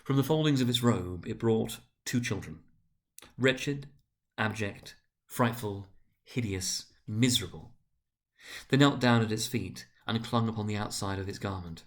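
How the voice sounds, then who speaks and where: Man giving theatrical literary narration. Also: lowish voice. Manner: theatrical, deliberate, expressive phrasing.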